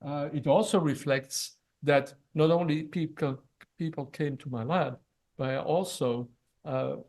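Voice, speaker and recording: Older man, from Belgium, mid-pitched, unscripted ceremony talk, frequent restarts. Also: vocal fry, darkish tone. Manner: halting, many fillers and restarts. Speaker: retiring professor. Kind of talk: ceremony presentation. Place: event hall.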